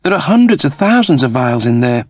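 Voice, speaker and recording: A man's voice: medium pitch, big pitch swings, brisk, dry recording, studio quality, voice pushed up. A man voicing an anxious, blunt female spaceship officer, speaking urgently in a science fiction audiobook. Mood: exasperated, urgent. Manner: urgent, protesting.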